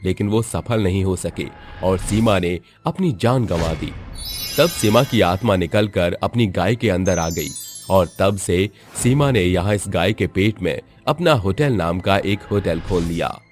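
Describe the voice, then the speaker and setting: A man with a deep, low voice narrates a scripted horror tale as the storyteller. Light music plays underneath his narration. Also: a little slow.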